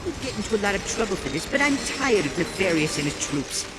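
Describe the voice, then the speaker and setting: A polite little robot worker in a video game. The voice is extremely high and lively, chatty, eager and slightly prim, over music. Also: male voice, fast, poor audio.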